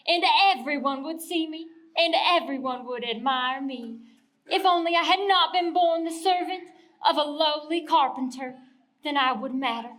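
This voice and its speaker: A man's voice: very high voice, sharp tone, pitched above the performer's own voice. A man voicing a wistful, aging female donkey in a children's story, plaintive and earnest. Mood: wistful longing.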